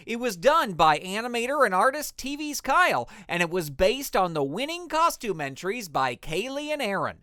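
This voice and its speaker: A man's voice: very high-pitched, pushed and bright, dry recording, studio quality. A man narrating and announcing in a scripted, theatrical radio-serial style. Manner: theatrical, presentational.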